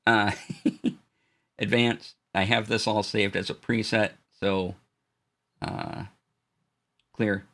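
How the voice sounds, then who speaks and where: A man with a low voice explaining audio gear in a relaxed, halting live-stream demo, full of fillers and restarts. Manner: greets chat viewers warmly. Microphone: studio dynamic mic.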